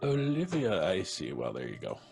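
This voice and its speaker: Man, North American accent, low voice, jovial live stream host, playful banter, music behind. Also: huge pitch swings, moderate pace, vocal fry, a little mellow. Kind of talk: casual live stream hosting.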